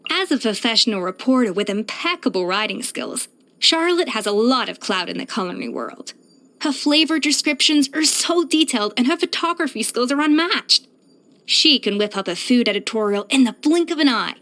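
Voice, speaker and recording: An actress voicing a proud, exacting young pastry chef in a video game, poised, self-assured and precise in manner. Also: female voice, on the high side, expressive, moderate pace, fairly bright tone. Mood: poised, approving. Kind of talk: scripted video game character voice lines.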